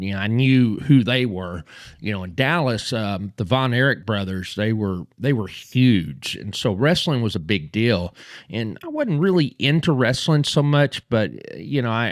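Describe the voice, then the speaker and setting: Texan man telling a story, fairly low voice. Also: dry recording, studio quality. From Texas. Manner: halting, many fillers and restarts. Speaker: mob history channel host. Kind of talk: unscripted personal storytelling.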